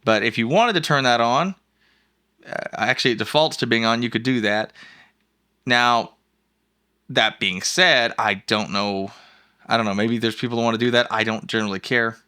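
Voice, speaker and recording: A man hosting an informal, jokey, rambling software walkthrough in a casual screencast style. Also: mid-range voice, moderate pace, long pauses, clear, resonant tone. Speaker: host of a software review show.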